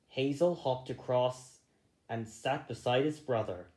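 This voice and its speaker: A man reading a children's story aloud, a little halting, going back over misread phrases. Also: medium pitch, darkish tone, roomy sound. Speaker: storybook reader.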